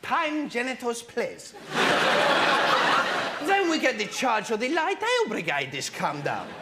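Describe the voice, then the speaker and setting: A man's voice: very high voice, wildly swooping pitch, a little slow, heavily acted. An actor playing a cheeky, grumbling Greek kebab shop owner with East London slang in a stand-up comedy monologue, mangling his English. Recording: live stage, very noisy recording.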